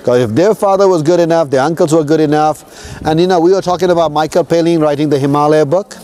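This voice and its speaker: Nepali tour guide with a mellow voice. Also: male voice, on the high side, fast. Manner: conversational, asks listeners "right?" often. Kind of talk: casual spoken history talk to tourists.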